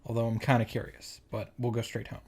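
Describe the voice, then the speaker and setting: A man's voice: medium pitch, completely flat delivery, brisk, darkish tone, breathy edge. A man giving a casual recap and reaction commentary, with exasperated asides, as host of a visual novel playthrough. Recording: music behind the voice. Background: game music.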